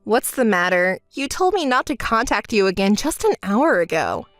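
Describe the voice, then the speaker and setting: A woman performs a sensible, wronged young woman for a melodramatic story. She keeps her voice low and controlled, speaking in a measured, dismissive and reproachful way. The sound is dry and close. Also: sing-song, fairly bright tone, no echo, faint music behind. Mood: calm, puzzled.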